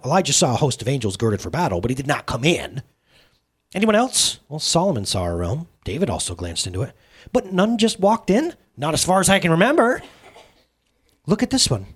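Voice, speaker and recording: A man voicing a group of awestruck, anxious male angels, in a high, breathless, excited way. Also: husky, exaggerated voice.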